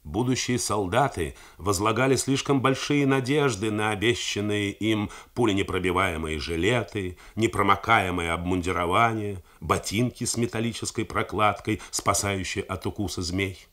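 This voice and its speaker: A man narrating a novel in a measured, theatrical reading style for a 1980s radio broadcast. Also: fairly low pitch, fairly bright tone. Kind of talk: scripted literary narration.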